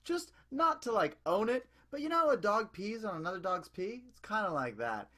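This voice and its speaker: A man's voice: high voice, sing-song, moderate pace, bright, forceful tone, full character voice. A man playing a brash, oddball showman hypnotist who rambles cockily in an improvised radio play. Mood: rambling. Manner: rambling, cocky.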